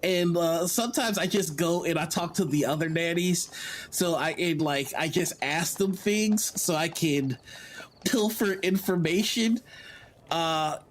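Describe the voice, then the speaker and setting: A player in a tabletop game speaks very slowly and haltingly, improvising a casual account as their character. The recording carries faint background noise. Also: mid-range voice. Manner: hesitant, halting.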